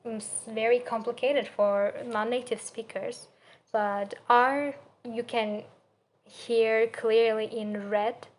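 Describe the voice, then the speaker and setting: Young turkish accent girl doing a class presentation, slow n halting, kinda monotone, searching for words. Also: fairly high pitch. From Turkey. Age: young adult. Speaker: student presenter. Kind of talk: spoken assignment presentation on pronunciation.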